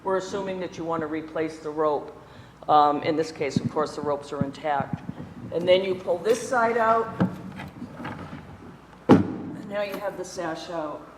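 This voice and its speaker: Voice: medium pitch, unhurried, long pauses, very noisy. Instructor demonstrating in a reverberant hall. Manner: practical, step-by-step explaining while handling tools; chatty with self-deprecating asides. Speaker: window restoration instructor. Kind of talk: live hands-on workshop demonstration. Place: indoor workshop room before an audience.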